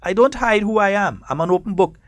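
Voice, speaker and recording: A man's voice: high-pitched, animated, brisk, dry recording. Trinidadian grenadian accent, male host, emphatic, defiant, dry studio sound. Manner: emphatic, defiant, repeats for effect, chuckles. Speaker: talk show host. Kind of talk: studio political talk. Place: radio studio.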